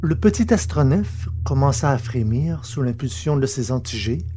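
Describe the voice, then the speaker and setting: A man's voice: medium pitch, animated, normal speed, warm tone, soft background music. A man hosting a podcast and narrating, with a scripted, storytelling delivery.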